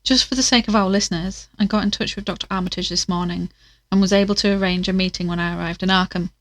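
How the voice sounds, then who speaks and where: A woman's voice: mid-range voice, fairly even intonation, fast talker, fairly bright tone, close to an everyday voice. A woman playing an earnest investigator in an audio drama, talking in a thoughtful, conversational way. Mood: polite, businesslike. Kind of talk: audio drama acting, naturalistic diary narration.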